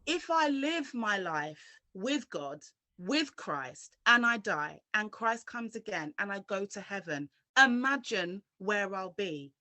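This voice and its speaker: A woman's voice: mid-range voice, expressive, slow, few pauses, sharp tone, no echo. A woman from London giving her personal testimony as an interview guest, speaking earnestly and directly to the viewer.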